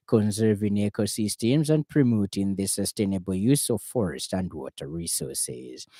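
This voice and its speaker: A man with a Zambian accent reading a scripted radio news bulletin in a formal, measured way. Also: on the low side, warm tone, no room sound. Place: radio studio.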